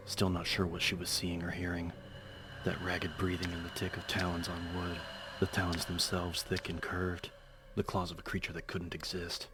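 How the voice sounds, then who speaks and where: A man with a very low voice narrates a horror story. His delivery is measured and suspenseful, held extremely flat in pitch, over a faint bed of music. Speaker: audiobook narrator. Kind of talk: dramatic scripted horror narration.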